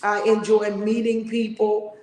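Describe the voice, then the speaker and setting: A middle-aged woman hosting a podcast, talking loudly and emphatically in a big voice. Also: mid-range voice, level tone, unhurried. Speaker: podcast host. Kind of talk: motivational casual talk. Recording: echoey room with long reverb.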